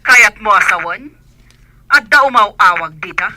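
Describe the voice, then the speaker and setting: A woman's voice: medium pitch, expressive, measured pace, pushed and bright. A woman playing a bossy, stern lady of the house over a telephone in a radio comedy, with an Ilocano accent. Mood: scolding. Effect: telephone filter. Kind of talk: radio comedy drama acting.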